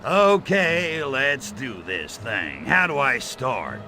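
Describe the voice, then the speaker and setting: An actor playing a cocky, sarcastic, short-tempered alien invader in a video game, with a brash, slangy, gravelly delivery. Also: male voice, medium pitch, sing-song, slow, music in the background. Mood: exasperated. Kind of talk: video game cutscene acting.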